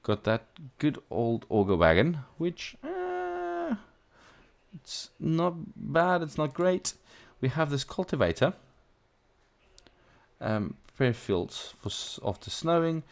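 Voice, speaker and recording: A man's voice: a little high, wildly swooping pitch, slow pace, vocal fry. A man who is not a native English speaker gives casual gameplay commentary as a let's play host, thinking aloud with playful asides.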